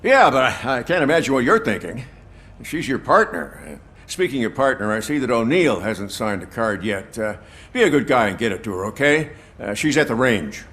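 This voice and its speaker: Man playing a hesitant cop, medium-pitched. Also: expressive, fairly bright tone, close to an everyday voice. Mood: concerned. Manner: hesitant, halting, full of uhs. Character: distracted, good-natured male police officer. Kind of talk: video game voice acting.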